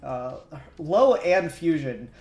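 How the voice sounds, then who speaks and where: A man's voice: on the high side, sing-song, slow, darkish tone. A man chatting casually as he hosts a live stream while working. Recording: roomy echo, music underneath. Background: music.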